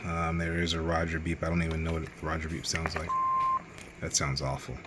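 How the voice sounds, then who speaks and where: A man's voice: extremely low pitch, monotone, fairly quick, very noisy. A man giving a casual, rambling, unscripted hands-on radio gear review in a matter-of-fact way. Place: radio bench, indoors.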